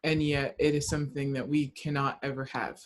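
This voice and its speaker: A woman's voice: sits low, flat delivery, a little fast. A woman taking part in a book discussion, speaking in a casual, reflective way and relaying comments.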